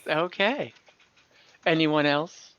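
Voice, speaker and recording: A man improvising narration as game master of an online tabletop game, haltingly searching for words. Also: fairly high pitch, a bit bright, smooth, dull audio.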